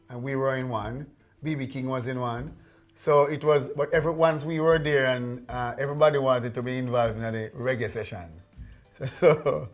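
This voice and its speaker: Man, casual, rambling stories, mid pitch, fillers and restarts. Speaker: musician. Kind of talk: casual storytelling.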